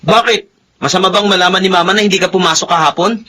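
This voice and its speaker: An actor playing an evasive teenage son in a Filipino radio drama, with a whiny, defensive manner. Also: male voice, sits high, fast talker, sharp tone, slight background noise. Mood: tattling. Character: evasive, slacking teenage high school son.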